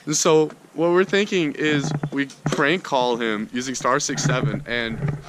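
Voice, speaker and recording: A man's voice: medium pitch, fairly even intonation, unhurried. A young American man telling a story casually and slangily to his friends on a noisy amateur video.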